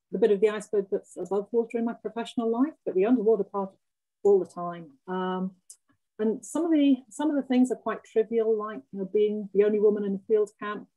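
A middle-aged woman speaking reflectively on a webcam panel, pausing and restarting her sentences. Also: mid-range voice, warm tone, low-quality recording. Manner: reflective, halting with repeated restarts. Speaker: panelist geologist. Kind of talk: panel discussion on a video call. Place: home room with bookshelves. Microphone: video call webcam.

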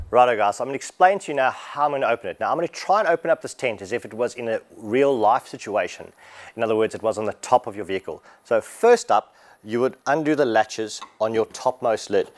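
Guy, 30s, relaxed explainer for a gear walkthrough in a warehouse. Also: lowish voice. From Australia. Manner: casual, explanatory, lively. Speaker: product presenter. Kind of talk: product walkthrough demo. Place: large warehouse showroom indoors.

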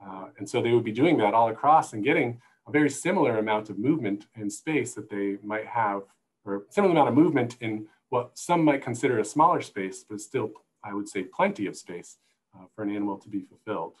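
A middle-aged man explaining things as a panel guest on a video call, in thoughtful, halting phrases, on an earbud mic. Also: fairly low pitch, animated, rough recording. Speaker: guest, zoo conservation manager. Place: indoor room, video call. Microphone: wired earbuds headset.

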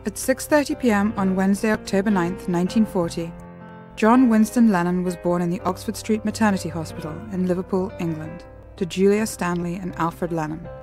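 A woman reading scripted documentary narration in a measured, even way. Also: on the low side, soft background music.

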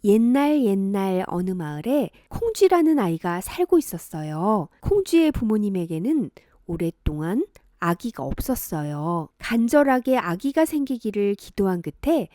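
Mellow, lively woman storyteller for children. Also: medium pitch, unhurried, dry recording, studio quality. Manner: gentle, sing-song, addressing young children. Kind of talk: expressive children's storytelling narration.

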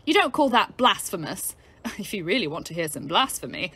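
An actress playing a brash, irreverent, upper-class young British ambulance driver, bold and mocking in an audio play. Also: female voice, mid-range voice, big pitch swings, brisk, a bit bright, breathy edge, full character voice. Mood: mock outrage. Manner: bold, mocking, salty-tongued.

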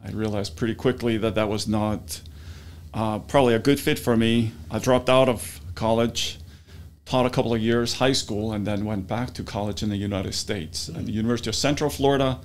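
A middle-aged man from Iceland, a university research administrator, answers interview questions in a relaxed, thoughtful way with some hesitations. He speaks into a studio mic in a small office. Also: lowish voice, fairly quick. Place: small office meeting room. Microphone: studio mic with pop filter.

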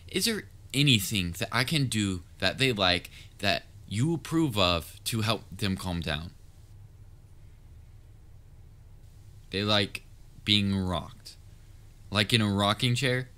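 A man's voice: fairly low pitch, expressive, unhurried, pushed and bright. A man playing a patient, sometimes exasperated but caring young babysitter in a roleplay audio drama, talking in a casual, coaxing way. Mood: worried, earnest. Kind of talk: roleplay audio drama, speaking directly to listener.

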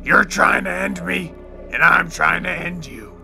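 A man playing a taunting, theatrical crime boss villain in a comic book audio drama, gravelly and menacing. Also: sits high, a little slow, sharp tone, soft background music, full character voice.

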